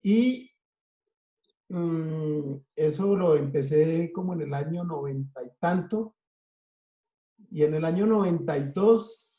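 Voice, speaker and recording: A middle-aged Colombian man with a Colombian accent, telling an unhurried story in an interview and listing things in rhythmic runs. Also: on the high side, dark tone, muffled recording. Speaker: interviewed cartoonist. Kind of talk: relaxed storytelling. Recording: echoey room.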